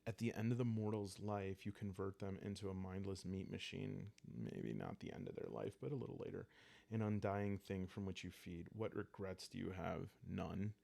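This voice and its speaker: A man calmly narrating a solo roleplaying game as he plays, improvising the story in an unhurried, hushed way with dramatic pauses. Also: low-pitched, creaky.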